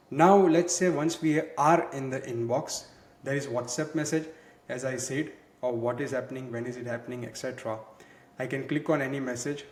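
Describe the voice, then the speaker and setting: A man presenting a software walkthrough in a conversational, explanatory way, leaning on filler words. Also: mid-range voice. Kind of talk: walkthrough demo narration. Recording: echoey, roomy sound.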